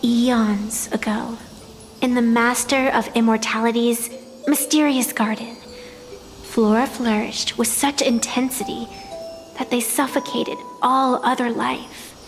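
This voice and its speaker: Ancient, solemn high-voiced female arbitrator. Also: steady tone, faint music behind. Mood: solemn storytelling. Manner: solemn, deliberate, poetic, formal. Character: ancient, proud female arbitrator of deadly games, solemn and fatalistic. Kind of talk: scripted video game voice acting, dramatic storytelling.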